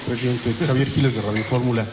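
A man with a Mexican accent, a radio reporter asking a long question at a press conference, halting, with many restarts. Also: medium pitch, steady tone. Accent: Mexican Spanish. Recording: echoey hall with background noise.

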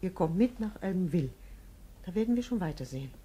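An actress playing a tired, orderly mother in an old radio drama, brisk in manner. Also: on the low side, lively intonation, mellow, slight background noise, natural voice. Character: tired older mother, fussy about order. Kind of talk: 1950s radio drama acting. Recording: old radio broadcast with music.

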